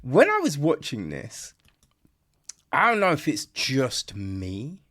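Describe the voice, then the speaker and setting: A man hosts a casual film review podcast at a slow, unhurried pace. His mid-pitched voice rambles through unscripted thoughts, doubling back and tagging phrases with little check-ins to the listener. The phrasing is informal and chummy. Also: wildly swooping pitch, fairly bright tone. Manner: rambling, repetitive, peppered with "right?" and "you know"; informal, chummy address, mild swearing. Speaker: podcast host reviewing films.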